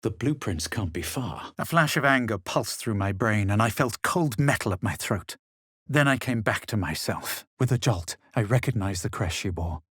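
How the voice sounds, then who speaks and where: Smug fantasy game hero, low slightly husky voice, talks all measured and formal. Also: male voice, lively intonation, fairly bright tone, no echo, clean studio sound. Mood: composed, superior. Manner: measured, superior, formal diction. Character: haughty, self-assured male fantasy adventurer and spellcaster. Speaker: video game player character. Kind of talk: scripted fantasy game voice lines and narration.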